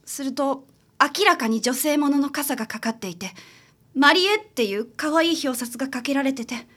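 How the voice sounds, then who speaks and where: Woman acting a troubled, sincere daughter, high voice. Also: moderate pace, fairly bright tone. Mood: earnest confiding. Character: troubled, sincere adult daughter seeking a detective's help. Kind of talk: radio drama acting.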